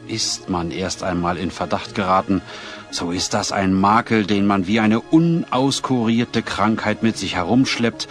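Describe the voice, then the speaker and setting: A man's voice: on the low side, lightly acted. A man playing a worried town mayor in an audio drama, formal, earnest and burdened. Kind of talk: German children's audio drama acting.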